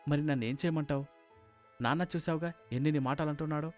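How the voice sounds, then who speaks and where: A man voicing a hurt, earnest young husband in an animated moral story, sounding aggrieved. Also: on the high side, fast, no room sound, soft background music, close to an everyday voice. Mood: consoling.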